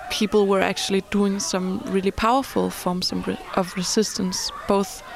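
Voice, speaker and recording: Woman, thoughtful spoken account, frequent pauses, vocal fry, flat intonation, noisy ambient background. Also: mid-range voice. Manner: thoughtful, pausing mid-sentence. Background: crowd and ambient noise.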